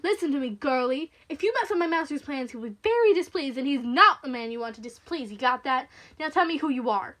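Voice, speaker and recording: A woman's voice: high voice, normal speed. A woman voicing a menacing, irritable male henchman who bullies and taunts in an audiobook story. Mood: irritable, snapping. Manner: snapping, threatening, taunting.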